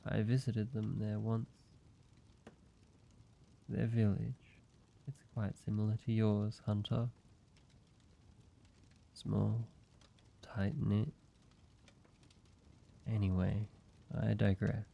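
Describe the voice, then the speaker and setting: A man voicing an ancient vampire in an intimate roleplay audio, speaking in a measured, halting, solemn way, like a weary father telling his past. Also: lowish voice, flat delivery, vocal fry, dark tone, highs cut off, heavily acted. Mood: somber, regretful.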